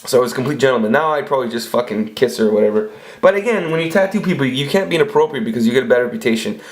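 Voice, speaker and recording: A man's voice: mid-range voice, lively intonation, rapid-fire. A man in his forties telling crude, rambling stories in a casual monologue, swearing often and restarting his sentences. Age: 40s. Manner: crude, profane, rambling storytelling with frequent restarts. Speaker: vlogger.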